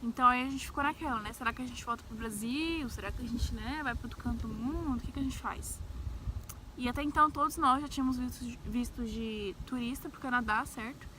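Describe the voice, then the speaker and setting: A woman's voice: on the high side, fairly quick. A young adult woman with a central-west Brazilian accent, telling personal stories in a casual, chatty vlog style, often checking in with little agreement tags. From Brazil, grew up in Brasília. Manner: informal, peppers speech with tags seeking agreement. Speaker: travel vlogger. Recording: faint background noise.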